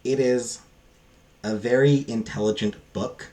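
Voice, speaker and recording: A man giving a casual, unscripted book review in a halting, rambling, self-deprecating way. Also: mid-range voice, fairly even intonation, warm tone.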